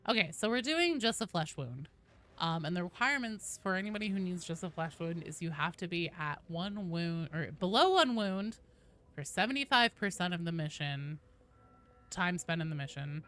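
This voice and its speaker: A woman's voice: fairly low pitch, animated, moderate pace, a bit bright. A woman game streamer chatting casually through live gameplay, informal and sweary, full of gamer slang. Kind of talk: casual live gameplay commentary to chat and teammates.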